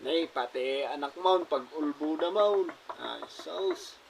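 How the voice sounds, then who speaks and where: A man's voice: a little high, slow, creaky, heavy background noise. A man with an Ilocano accent playing a sassy, blunt, teasing companion in a drama, with a mocking manner. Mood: blunt, needling.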